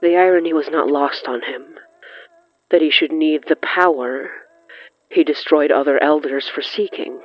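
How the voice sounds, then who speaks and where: A narrator reading scripted horror fiction in the third person, brooding and dramatic, with lingering pauses. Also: mid-range voice, no echo. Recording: muffled lo-fi sound with music underneath. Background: music.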